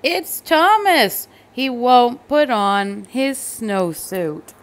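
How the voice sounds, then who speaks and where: A woman's voice: fairly high pitch, big pitch swings, drawn-out words, exaggerated voice. A woman voicing an exasperated, flustered female schoolteacher in a storybook, insistent and indignant. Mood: complaining.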